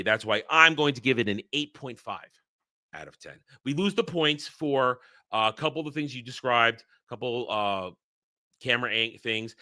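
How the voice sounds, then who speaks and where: A man's voice: mid-range voice, sharp tone, dry recording, studio quality. A man co-hosting a casual movie review show, talking in a loose, rambling way with frequent restarts and fillers. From Northeastern United States.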